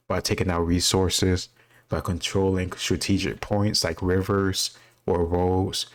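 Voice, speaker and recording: Casting: man with a low, flat voice, casual and rambling, reasons out loud and freely admits gaps. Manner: thinks aloud, candid about not knowing. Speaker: quiz-taker sharing his own commentary.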